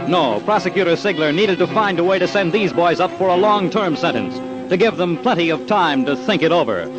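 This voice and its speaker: A man's voice: high-pitched, animated, a little fast, lo-fi sound. A man narrating an old-time radio crime documentary in a dramatic, punchy, emphatic scripted style. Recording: old radio with loud music.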